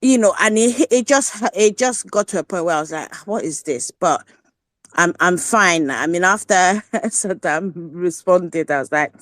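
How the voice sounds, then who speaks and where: A woman speaks casually in an online discussion at a very slow pace. Her voice is on the low side, hesitant and reflective, with a dry, close sound. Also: fairly bright tone, dry recording. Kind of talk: casual personal remarks.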